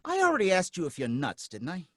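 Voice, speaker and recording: A man's voice: sits high, huge pitch swings, fast, no room sound, clean studio sound, full character voice. An actor playing a wary, working-class male taxi driver who talks in folksy slang and grows suspicious of his passenger. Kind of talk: live radio drama reading.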